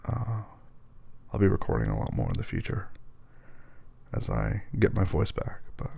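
A hoarse man, losing his voice, answering listener questions in a hesitant, casual way. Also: low-pitched, wildly swooping pitch, normal speed, dark tone. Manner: hesitant, many ums and restarts.

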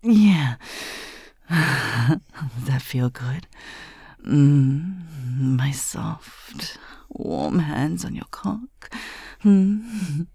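A young adult woman whispers teasingly into a close microphone, slow and unhurried. Her voice sits low and turns breathy and gravelly, with a dry, close sound and lively swings in pitch. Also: dry recording. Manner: teasing, heavy whispering with soft laughs. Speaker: audio roleplay performer. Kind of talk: intimate whispered adult audio roleplay. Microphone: close mic.

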